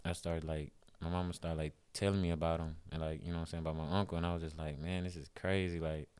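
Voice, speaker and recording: Young houston dude talking loose, low smooth voice, dry close mic. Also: male voice, level tone, fast talker, a little mellow, no room sound. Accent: Houston, Texas. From Houston, Texas. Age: 20s. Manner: laid-back, rambling, lots of fillers. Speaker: guest musician. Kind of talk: casual interview chat.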